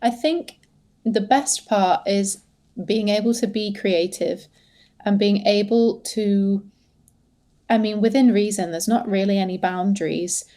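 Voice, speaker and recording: An English woman chats as a podcast guest about her work. Her voice is mellow and relaxed, and she talks in loose, hesitant runs full of fillers and restarts. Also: medium pitch, measured pace. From England. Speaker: guest, social media manager. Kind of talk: casual podcast interview.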